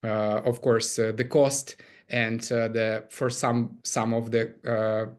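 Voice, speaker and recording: Halting Ukrainian-accented man, flat delivery. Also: medium pitch. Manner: hesitant, many filler pauses. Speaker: camp CEO presenting. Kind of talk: webinar presentation.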